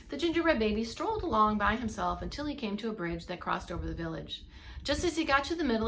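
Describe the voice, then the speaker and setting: Female preschool teacher, mid-pitched, expressive read-along storytelling for little kids, light room noise. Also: rough recording. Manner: emphatic storytelling with asides to listeners. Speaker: preschool teacher reading aloud.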